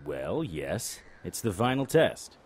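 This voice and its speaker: An actor playing a cocky, unflappable supernatural private investigator in an audio drama, full of wry, flippant banter. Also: male voice, deep voice, sing-song, slow, full character voice. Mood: brisk, cocky.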